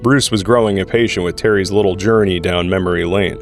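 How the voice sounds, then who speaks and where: Male narrator, scripted storytelling, low pitch, background music. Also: steady tone, fairly quick. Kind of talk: scripted audio drama narration.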